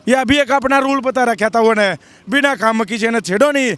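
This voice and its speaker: A young man with a Haryanvi accent, a protesting farmer, speaking hoarsely in a blunt, defiant, rambling way in a street interview. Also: very high voice, a little fast, a bit bright, no echo.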